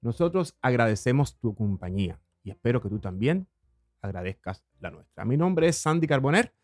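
A man from Cuba, hosting a morning radio program in a slow, lingering monologue with hesitant fillers. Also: mid-range voice, big pitch swings, no room sound. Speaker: radio host.

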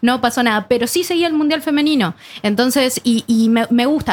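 A woman, chatting casually and often restarting and repeating words. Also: mid-range voice. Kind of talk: casual chat.

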